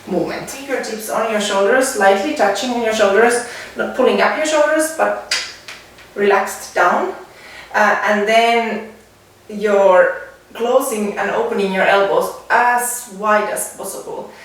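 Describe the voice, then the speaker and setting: Finnish-accented woman instructor in an echoey room. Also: medium pitch. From Finland. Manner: halting, pausing between short phrases while demonstrating. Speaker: dance and fitness instructor. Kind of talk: spoken exercise demonstration. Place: indoors at home, away from the mic. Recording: echoey room, distant mic, faint noise.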